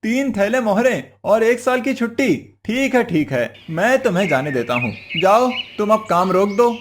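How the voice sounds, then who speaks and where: A man's voice: sits high, lively intonation, normal speed, background noise. A man playing a crafty, cheerful village husband in a cartoon moral story, coaxing and exclaiming in an exaggerated way. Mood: gleeful.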